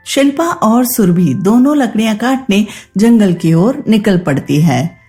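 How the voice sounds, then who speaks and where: A woman's voice: mid-range voice, animated, measured pace, warm tone, soft background music. A woman storyteller reading scripted narration for a children's story.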